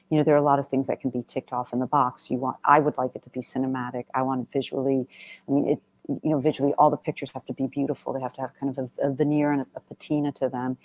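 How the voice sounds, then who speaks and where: Low-voiced, mellow woman speaking earnestly. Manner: earnest, halting, circles back and rephrases. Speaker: film director, interview guest. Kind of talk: reflective Q&A conversation.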